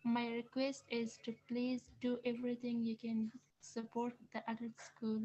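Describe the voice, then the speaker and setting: A woman's voice: on the high side, monotone, moderate pace, warm tone, rough recording. A woman from Afghanistan, not a native English speaker, reading a prepared public comment carefully and earnestly over a remote call. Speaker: public commenter, adult school student. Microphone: remote call audio.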